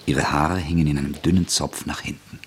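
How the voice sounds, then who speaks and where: A man with a husky voice reading scripted literary narration as the narrator of a radio drama. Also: very deep voice, steady tone, a little fast, a little hiss or hum.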